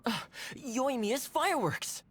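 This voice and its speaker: An actor playing a friendly, boyish young retainer in an anime game, casual and expressive in delivery. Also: sits high, takes it slowly, heavily acted. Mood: cheerful. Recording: background music under the voice. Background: music.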